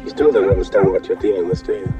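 A man's voice: low voice, quick pace, dull audio, natural voice. An actor playing a grave man in a science fiction horror film. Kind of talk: film acting. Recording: distant film clip over loud music.

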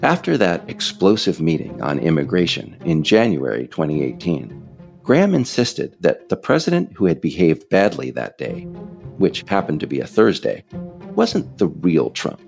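An American man reading scripted political narration in a measured, emphatic, explanatory way. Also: sits low, very animated, gravelly, darkish tone, soft background music. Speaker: author narrating own political audiobook.

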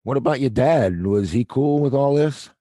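A man voices a middle-aged night watchman in an audio play, gruff on the surface and fatherly underneath. He talks in a wry, world-weary way, at a medium pitch. The sound is dry and close. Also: expressive, normal speed, mellow, no room sound, close to an everyday voice.

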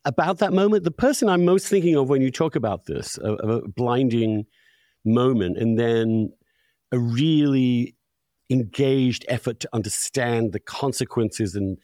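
A man hosting an interview, putting long, discursive questions in a deliberate, thoughtful way. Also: medium pitch, dark tone, no room sound, studio quality. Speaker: podcast host, interviewer.

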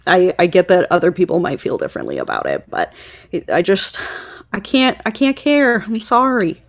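A woman's voice: lowish voice, big pitch swings, moderate pace. A woman co-hosting a podcast, speaking frankly and informally in a casual panel chat. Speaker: library podcast co-host.

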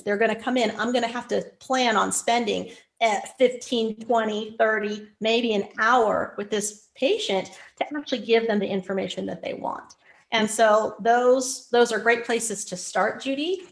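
Animated woman telling stories. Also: mid-range voice, vocal fry. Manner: animated storyteller, frequent restarts. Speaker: podcast guest. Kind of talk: conversational interview.

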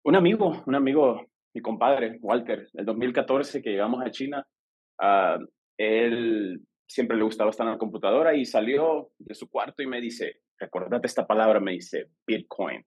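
A man from El Salvador with a Salvadoran accent, a guest on a podcast, telling his story in a lively way and acting out the dialogue he quotes. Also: medium pitch, normal speed, breathy edge. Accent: Salvadoran Spanish. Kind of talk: casual storytelling interview.

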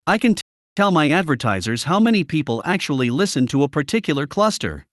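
Man, expressive pitch movement, dry recording, formal prepared-sounding explanations, interview guest. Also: fast talker, dry recording. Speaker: interview guest, media analytics company representative.

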